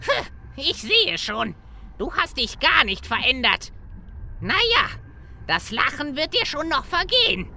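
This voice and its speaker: A man's voice: very high voice, huge pitch swings, a little slow, gravelly, pushed and bright, exaggerated voice. A man playing a scheming, gloating gnome villain in a comedy fantasy audio play, with theatrical delivery. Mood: gloating menace.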